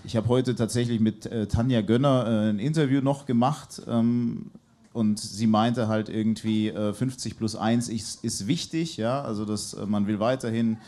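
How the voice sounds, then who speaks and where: A man's voice: fairly low pitch, steady tone, normal speed, dark tone. A man speaking as a journalist guest on a live football panel, hesitant and full of fillers, into a handheld mic on a pub stage.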